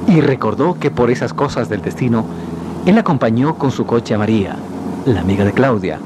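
A man narrating a scripted radio drama in a deliberate, theatrical storytelling manner. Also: mid-range voice, lively intonation. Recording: noisy 1990s radio drama, street sounds behind.

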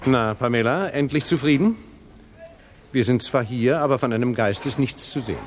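An actor playing a nervous, evasive husband in a radio drama, dismissive and defensive. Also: male voice, mid-range voice, moderate pace, a little hiss or hum, natural voice.

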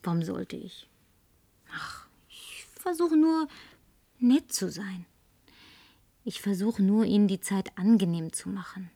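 An actress in a German radio play playing a flirtatious, tipsy, insistent career woman with coquettish, languid phrasing and breathy murmurs. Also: medium pitch, expressive, slow pace, long pauses, dark tone, no echo, heavily acted. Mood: seductive.

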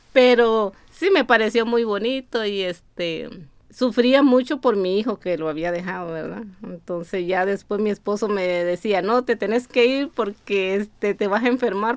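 A woman's voice: medium pitch, no room sound. A middle-aged woman with a Nicaraguan accent giving an informal personal account in an interview. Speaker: migrant interviewee. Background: music.

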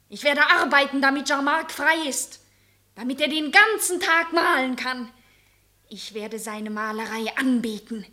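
Defiant, high-voiced devoted wife. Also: female voice, sharp tone, heavily acted. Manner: emphatic, impassioned. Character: defiant, passionately devoted wife, a notary's daughter. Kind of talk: German radio play acting.

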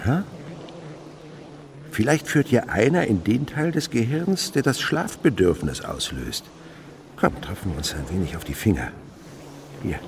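An older naturalist and mentor in a radio drama, lecturing with dreamy enthusiasm. His voice is lowish and creaky, with patient, poetic phrasing, over noisy sound effects. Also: full character voice. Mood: gentle, musing. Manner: scholarly, lyrical, patiently instructive. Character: ageing French insect researcher, uncle and mentor, dreamily enthusiastic. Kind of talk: German radio play acting.

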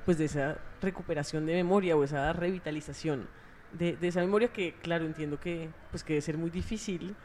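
A woman's voice: low-pitched, brisk, a little mellow, a little hiss or hum. A woman who grew up in Ecuador, interviewing a band in a chatty way, thinking aloud with many fillers. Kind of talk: casual interview.

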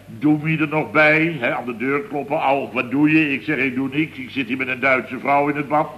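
An actor playing a flustered, indignant middle-aged building boss, telling things in a long-winded, exasperated way in a comic radio serial. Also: male voice, medium pitch, fairly even intonation, normal speed, highs cut off, close to an everyday voice. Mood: exasperated storytelling. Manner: long-winded, exasperated storytelling peppered with verbal tags. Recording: old radio recording with faint noise.

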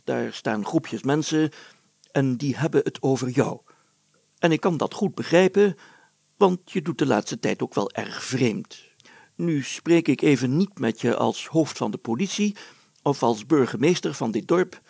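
A man's voice: medium pitch, creaky, a little mellow, dry recording, voice pushed up. A man voicing a pompous, jovial village mayor who speaks emphatically and hems solemnly in a storybook reading.

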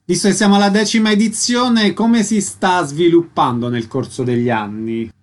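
A man's voice: high voice, moderate pace. A man hosting a radio interview, asking questions and announcing event details.

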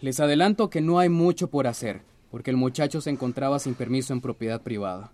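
Actor as courteous Central American police officer. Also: male voice, medium pitch, fast, a little mellow, a little hiss or hum, natural voice. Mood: apologetic, firm. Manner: formal, hesitant, courteous. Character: polite, apologetic local police officer bearing bad news. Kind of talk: radio drama acting.

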